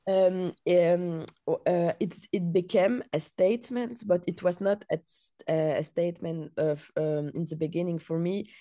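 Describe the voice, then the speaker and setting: A woman from Belgium, a filmmaker, answering interview questions in a halting way with many fillers and restarts. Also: on the low side, dark tone, dry recording, studio quality.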